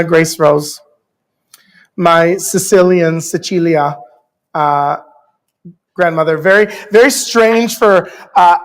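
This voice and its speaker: A panel moderator from Canada, speaking in an earnest, affirming way to the audience and to viewers at home. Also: medium pitch. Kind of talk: heartfelt panel talk.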